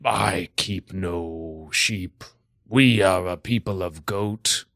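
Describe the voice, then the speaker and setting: A man's voice: sits low, drawn-out words, vocal fry, a bit bright, no echo, clean studio sound, full character voice. A man improvising a comedy scene as a proud, theatrical warlord of goatherds, using pompous archaic phrasing. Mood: stately, proud.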